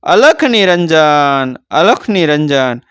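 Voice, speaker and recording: A Gujarati man voicing a devout head monk who calls out a drawn-out, loud ritual chant. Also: fairly high pitch, sing-song, smooth, no room sound, clean studio sound, full character voice. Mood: solemn calling.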